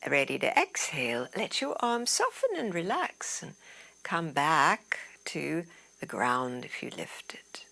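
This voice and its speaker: Female meditation guide, lowish and very slow, soothing delivery with soft trailing phrase ends. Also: very animated. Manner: soothing, unhurried, trailing into breathy softness. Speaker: yoga nidra teacher. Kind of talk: guided relaxation meditation instruction.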